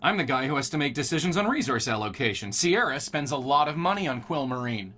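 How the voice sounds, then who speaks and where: An actor playing an arrogant, impatient, demanding boss in an audio drama, sarcastic and quick to interrupt. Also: male voice, mid-range voice, sing-song, sharp tone, soft background music. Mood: demanding, cold.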